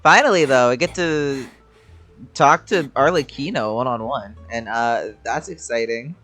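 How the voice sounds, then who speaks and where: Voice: medium pitch, unhurried, a bit bright, faint music behind. A game streamer giving casual, informal reactions while playing. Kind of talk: casual gameplay reaction commentary.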